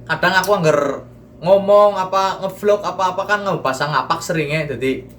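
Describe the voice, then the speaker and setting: A young man from Cilacap with a Banyumasan ngapak accent, hosting a casual group Q&A and chatting in a relaxed way. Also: sits high, moderate pace, a little hiss or hum. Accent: Banyumasan Javanese (ngapak). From Cilacap, Central Java. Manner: relaxed, chatty, mixes dialect into Indonesian. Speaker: vlogger and host.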